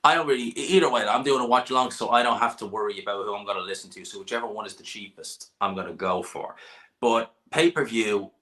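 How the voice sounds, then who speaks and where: A man's voice: lowish voice, fast talker, bright, forceful tone. A man hosting a live boxing chat stream, joking and bantering with a guest. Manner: jokey, banter-heavy, laughs often. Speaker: boxing channel host.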